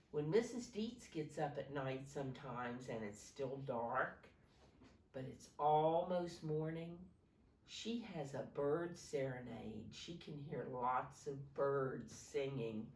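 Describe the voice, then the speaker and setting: A woman reading a picture book aloud to young children as a teacher, pausing to explain simply and ask them questions. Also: a bass voice, darkish tone. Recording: roomy echo, distant from microphone.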